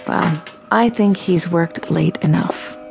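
A woman's voice: lowish voice, slow, creaky, music in the background. An actress playing a practical, chatty, weary wife in a radio drama, a little dramatic in her delivery.

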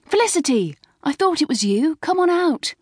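A boisterous teenage schoolgirl, put on by a woman reader calls out in a high, exclamatory voice. It is a storybook character, recorded close and dry. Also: big pitch swings, moderate pace, no room sound. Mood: excited. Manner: calling out, exclamatory. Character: lively, boisterous teenage schoolgirls greeting friends.

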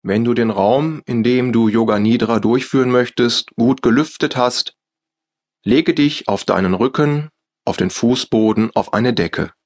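A man with a German accent guiding a yoga nidra relaxation in slow, soft, calm instructions with long pauses. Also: medium pitch. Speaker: meditation guide. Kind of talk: guided yoga nidra meditation.

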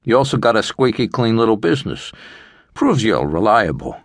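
A streetwise, middle-aged money lender with menace under a businesslike manner, acted out by a male narrator. He lays out terms in a measured way, with lively pitch, recorded close and dry. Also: vocal fry, no room sound, full character voice. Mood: cool, businesslike. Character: calculating middle-aged male loan shark, cool and menacing.

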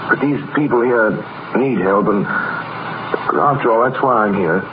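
An actor playing an earnest, idealistic young doctor who is stubbornly principled, in a 1940s radio drama. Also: male voice, mid-range voice, measured pace, natural voice. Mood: earnest, polite. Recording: noisy, muffled 1940s radio recording.

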